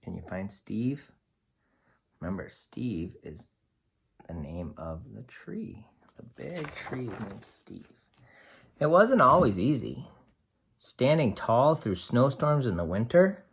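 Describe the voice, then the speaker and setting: A picture-book reader of ambiguous gender with a mid-pitched voice and very lively intonation. The delivery is slow and deliberate, made for young listeners, with simple explanations set between the lines of the story. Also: creaky, husky. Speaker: read-aloud storyteller. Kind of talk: expressive picture-book read-aloud with asides to young children.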